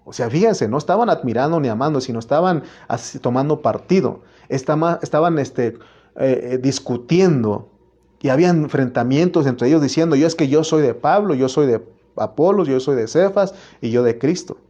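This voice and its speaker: Earnest Mexican preacher, dark dull sound. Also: male voice, medium pitch, expressive, moderate pace. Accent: Mexican Spanish. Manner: earnest, repetitive preaching with restarts. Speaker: pastor. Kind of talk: sermon.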